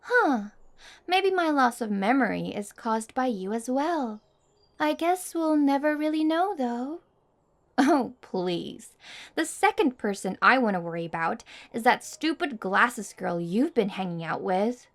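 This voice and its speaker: Woman acting a haughty, high-voiced popular girl. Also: expressive, unhurried. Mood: smug, teasing.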